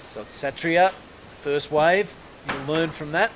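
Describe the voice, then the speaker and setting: A man hosting a live surf broadcast, calling each ride with relaxed, matey ease in a slightly raised voice. Faint background ambience runs under his commentary throughout. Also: fairly high pitch, slow, a little hiss or hum, rough recording. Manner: laid-back, matey play-by-play.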